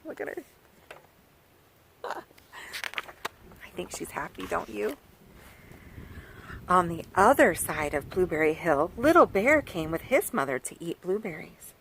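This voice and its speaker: A woman, a children's author, reading a picture book aloud outdoors by her farm garden, talking to young listeners in an animated, sing-song way and asking them questions. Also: on the low side, slow, vocal fry, long pauses, a little hiss or hum, poor audio.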